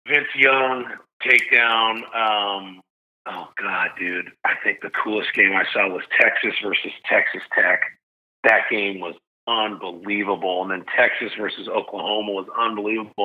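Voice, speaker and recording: Chatty man on a tinny line. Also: fairly low pitch. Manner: energetic, chatty, says "dude" and "like" often. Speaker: podcast guest, sports announcer. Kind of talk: casual storytelling interview. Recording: narrow phone-like sound.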